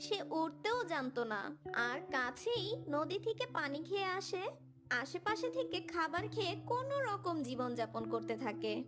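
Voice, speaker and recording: A woman's voice: very high-pitched, very animated, normal speed, music in the background. A woman narrating a scripted children's cartoon story.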